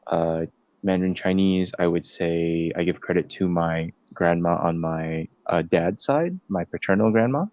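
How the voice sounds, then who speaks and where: A young man in his twenties answering interview questions casually, pausing often with fillers. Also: low voice, no echo. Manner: hesitant, many fillers and restarts. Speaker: interviewee, nursing student. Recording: dull, dry lo-fi sound.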